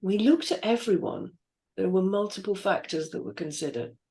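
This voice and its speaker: Gruff fifties male supervisor, read by a woman, awkward and apologetic, plain read-through delivery. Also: medium pitch, normal speed, warm tone, lightly acted. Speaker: actor in a script reading.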